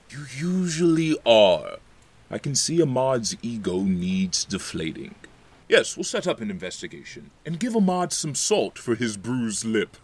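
An actor as a boisterous, boastful ruler with wildly swinging pitch. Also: male voice, a bit bright, full character voice. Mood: conceding, commanding. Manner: grandiose, theatrical, swinging from musing to bluster. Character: Kain, boisterous, boastful, hard-drinking ruler and boss. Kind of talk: theatrical audio drama acting.

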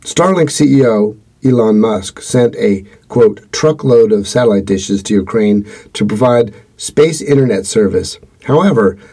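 A man hosting a podcast, reading his script in an earnest, steady way. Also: on the low side, measured pace, hardly any pauses, slightly rough. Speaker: podcast host.

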